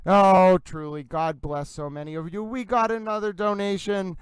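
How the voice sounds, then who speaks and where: A man's voice: high voice, fairly even intonation, normal speed, smooth, dry recording. A man hosting a livestream in a gushing, theatrical singsong manner, a little breathless as he chats with viewers. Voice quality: slightly breathless. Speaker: livestream host. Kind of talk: casual livestream chat with viewers.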